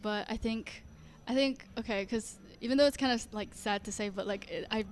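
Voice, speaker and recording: A woman's voice: fairly high pitch, a little fast, a little hiss or hum. A teenage girl from Singapore, a young singer answering interview questions in a shy, hesitant way with many fillers. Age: teens.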